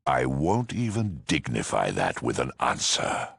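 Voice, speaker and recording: A man's voice: rumbling low, wildly swooping pitch, fairly bright tone, no echo, clean studio sound, heavily acted. A man playing a cold, disdainful mutant officer in a video game, guttural and menacing. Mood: cold menace. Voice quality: gravelly, guttural. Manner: slow, menacing, dismissive.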